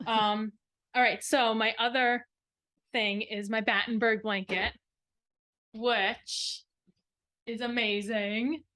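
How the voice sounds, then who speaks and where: A woman's voice: a little high, little pitch movement, slow, long pauses, bright, forceful tone. A woman co-hosting a craft podcast, talking casually in a show-and-tell style and rambling as she thinks aloud. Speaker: knitting podcast co-host.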